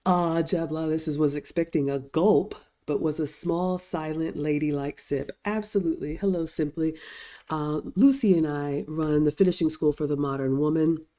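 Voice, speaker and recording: A low-voiced, middle-aged woman with a slightly raspy edge, still getting over a cold. She hosts a casual livestream, chatting away and answering viewer comments in a warm, mellow tone. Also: moderate pace. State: recovering from a cold. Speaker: livestream host and etiquette teacher.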